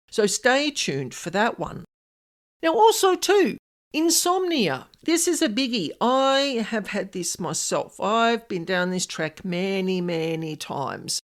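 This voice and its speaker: Woman podcaster with lively, higher-pitched delivery. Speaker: podcast host and energy worker. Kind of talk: explanatory solo podcast monologue.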